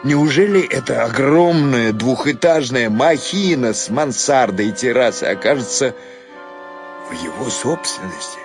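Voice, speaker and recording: A man narrating a dramatized literary story, expressive in his delivery. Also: lowish voice.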